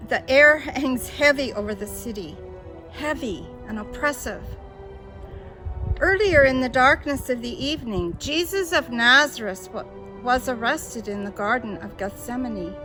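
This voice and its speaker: Casting: female narrator for a solemn drama, high voice, very unhurried delivery. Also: pushed and bright. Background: music.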